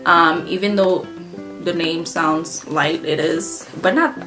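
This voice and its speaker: A woman's voice: fairly low pitch, fairly even intonation, slow pace. A woman with a Haitian Creole accent giving a chatty, rambling makeup review, full of ums and self-corrections. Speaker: beauty vlogger. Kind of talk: casual makeup product review. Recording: clear background guitar music.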